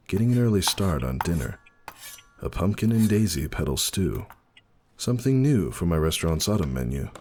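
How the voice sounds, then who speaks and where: A man giving a dramatic first-person story reading in a measured, wistful way. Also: extremely low pitch, background noise. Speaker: audiobook narrator. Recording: music behind the reading.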